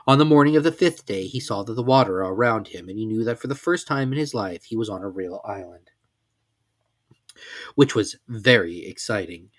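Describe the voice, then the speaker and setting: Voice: mid-range voice, animated, normal speed, no room sound. A narrator giving a dramatic reading of a children's story.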